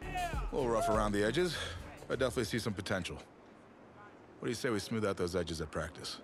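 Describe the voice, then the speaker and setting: An actor playing an encouraging high-school football coach with a folksy, wry manner in a video game. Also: male voice, sing-song, fast talker, over loud music. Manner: folksy, wry, mock-grand. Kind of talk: cinematic video game dialogue.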